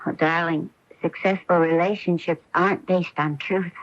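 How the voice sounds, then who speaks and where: Actress as sweet, determined wife, lo-fi radio. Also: female voice, lowish voice, steady tone, normal speed, lightly acted. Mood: playful, candid. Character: sweet, determined, self-assured young wife of a golfer. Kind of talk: radio mystery drama acting. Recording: dull lo-fi AM radio broadcast.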